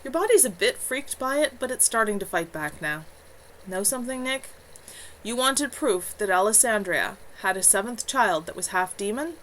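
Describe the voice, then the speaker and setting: A woman reading as a calm, reassuring man. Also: medium pitch, moderate pace, slight background noise, natural voice, poor audio. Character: calm reassuring male healer.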